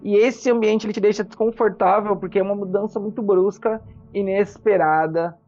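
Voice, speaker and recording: Mellow game master improvising narration. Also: mid-range voice, fairly even intonation, moderate pace, lo-fi sound, soft background music.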